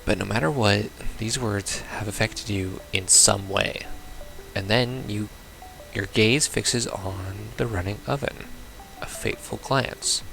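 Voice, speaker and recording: A man's voice: fairly low pitch, takes it slowly, creaky, a bit bright, low-quality recording. A man playing through a visual novel, reading it aloud and commenting casually with sardonic, blunt reactions. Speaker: let's player. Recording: music behind the voice.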